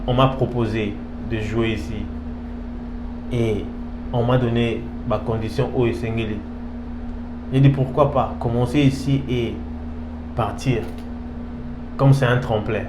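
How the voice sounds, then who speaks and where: A man's voice: mid-range voice, steady tone, unhurried, long pauses. Male interview guest, Congolese French accent. Casual, hesitant, repetitive delivery that switches languages, recorded in a reverberant room with noticeable hum. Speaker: interview guest, professional footballer. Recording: echoey room, clear background hum.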